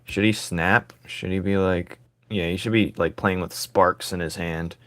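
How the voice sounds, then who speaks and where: A man with a raspy voice streaming his drawing, thinking aloud in casual chat and joking with viewers. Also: deep voice, steady tone, a little slow, a bit bright, no room sound.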